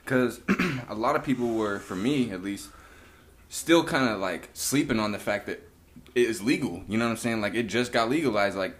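A man hosting a casual podcast chat, speaking in a relaxed, slangy way and often saying "you know what I'm saying". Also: fairly low pitch, moderate pace.

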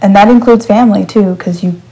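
A woman's voice: mid-range voice, fairly quick, mellow, slightly rough, rough recording. A woman from West Texas co-hosting a podcast, chatty and rambling in casual talk, listing ideas with lots of fillers. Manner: chatty, list-making, with um and like fillers. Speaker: podcast co-host. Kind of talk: casual chat.

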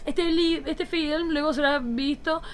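A woman's voice: high-pitched, steady tone, measured pace, slight background noise, pitched above the performer's own voice. A woman playing a nervous, flirtatious female diplomat in a campy radio play, with gushing, giggly exclamations. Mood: excited, flirtatious.

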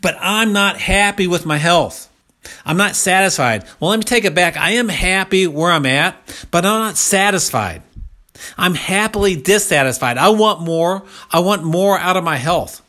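A man's voice: sits high, moderate pace, bright, forceful tone. A man of about sixty giving a motivational health talk, speaking emphatically and repeating key phrases for stress. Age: around 60.

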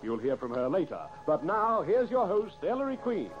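A man's voice: high voice, sing-song, normal speed. A man announcing a 1940s radio program in a grand, dramatic manner. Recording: muffled old radio recording. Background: loud program music.

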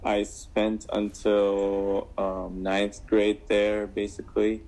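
A man's voice: lowish voice, completely flat delivery, takes it slowly, darkish tone. A young man from Syria answering interview questions casually, with many fillers and restarts. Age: 20s. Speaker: guest.

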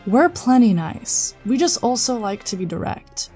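A woman's voice: a little high, big pitch swings, a little slow, dark tone, slightly rough, faint music behind, heavily acted. A woman playing a confident, powerful psychic agent in a sci-fi audio drama, direct and matter-of-fact. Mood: cool, confident.

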